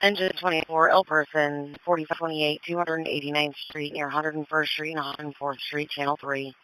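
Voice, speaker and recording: A man's voice: a little high, level tone, normal speed, bright, forceful tone, slight background noise, low-quality recording. A man working as a dispatcher, calling out units and addresses over two-way radio in a clipped, procedural manner. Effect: two-way radio transmission. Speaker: police and fire dispatcher. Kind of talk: scanner radio dispatch call-outs.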